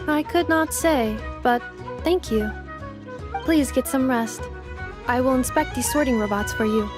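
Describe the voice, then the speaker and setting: A woman voicing a calm, logical robot caretaker in a video game, with formal, matter-of-fact phrasing. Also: high-pitched, measured pace. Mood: polite, composed. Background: music.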